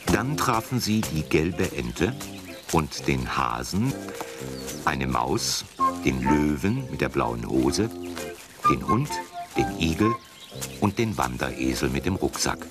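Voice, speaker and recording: A man's voice: a bass voice, sing-song, slow, loud music behind the voice. A man narrating a scripted children's story as the storyteller.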